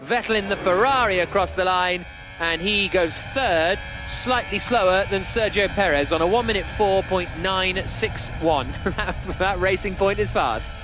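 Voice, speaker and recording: A man's voice: sits high, moderate pace, background noise. A man working as lead commentator, calling a motorsport session live on radio.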